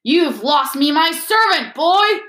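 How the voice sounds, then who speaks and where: A woman's voice: mid-range voice, measured pace, reverberant, close to an everyday voice. A woman voicing a haughty, menacing male wizard villain with a sneering, commanding delivery. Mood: furious.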